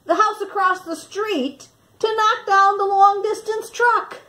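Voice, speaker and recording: A woman reading a children's picture book aloud expressively, with dramatic pauses and questions for young listeners, like a teacher. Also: very high-pitched, fairly even intonation, sharp tone.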